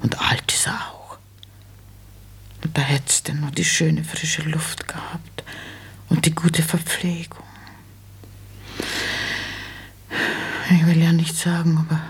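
An old mother in a radio play, money-minded and insistent. Her voice is badly husky, with a gravelly creak. She speaks in plain, halting colloquial phrases with a plaintive pull. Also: sits low, level tone, darkish tone, full character voice. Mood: coaxing, wistful. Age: older. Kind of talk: German radio play acting.

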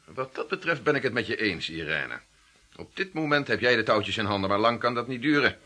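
Sharp, bright, ironic male boss. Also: on the low side, expressive. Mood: coolly ironic. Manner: dry, measured, superior. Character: composed, ironic male gang boss held at gunpoint. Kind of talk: radio drama acting.

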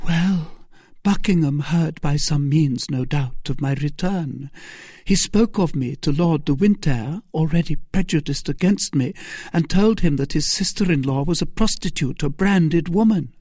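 A desperate noblewoman of the storybook kind, acted out by a man, pleading with melodrama and cunning. The voice is pitched well up and unhurried. Every word comes through clean and imploring. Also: warm tone, slightly rough, no echo, studio quality. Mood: plaintive. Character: desperate, pleading, scheming noblewoman, a captive temptress.

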